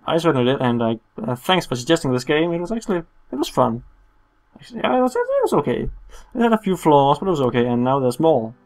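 A young man giving casual, joking game commentary in a playful, self-mocking way. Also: mid-range voice. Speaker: let's play host.

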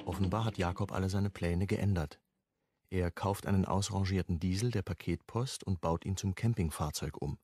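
An actor playing a sober crime story narrator, husky and matter-of-fact, reading like a report in a radio play. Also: male voice, low-pitched, normal speed, darkish tone, dry recording, studio quality.

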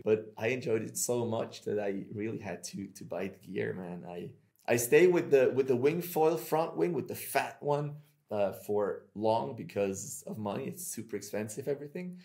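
A man's voice: medium pitch, moderate pace, vocal fry. Chatty Swiss man in his thirties. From Switzerland. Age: 30s. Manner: chatty, animated storytelling with hand gestures. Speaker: podcast host. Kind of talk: casual video-call podcast chat. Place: small home room. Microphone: video call with earbuds.

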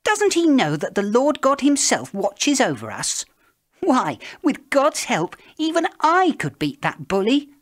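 Little shepherd boy, high and spirited, lots of lift in the voice, man doing the voice. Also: wildly swooping pitch, unhurried, exaggerated voice. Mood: indignant. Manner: earnest, spirited. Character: small brave shepherd boy, indignant.

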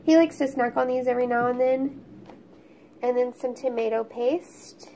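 Congested, high-voiced Oklahoma mom. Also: female voice, normal speed, dark tone, slight background noise, rough recording. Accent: Oklahoma Southern US. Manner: chatty, homey, listing items. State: congested, sniffly, crackly voice. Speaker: YouTube vlogger, mom. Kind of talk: casual grocery haul narration.

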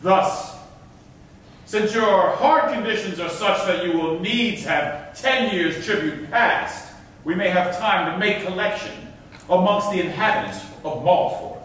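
A man's voice: high-pitched, unhurried, a bit bright, slight background noise. An actor playing a scornful, imperious governor in a classical verse stage reading, declaiming his commands. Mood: stately. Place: stage of an auditorium, indoors. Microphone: distant from the audience area. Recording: echoey concert hall, far microphone.

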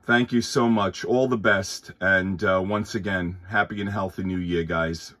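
Deep voiced guy on ham radio, polite and thankful, just chatting casually. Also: steady tone, measured pace, a bit bright. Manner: courteous, thankful. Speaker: amateur radio operator. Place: indoors at a workbench.

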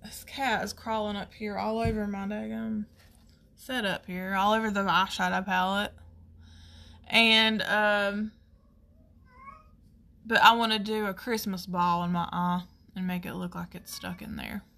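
A woman with a Southern US accent chatting casually through a makeup tutorial, folksy and rambling as she thinks out loud. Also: medium pitch, little pitch movement, measured pace, vocal fry. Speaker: makeup artist hosting a tutorial.

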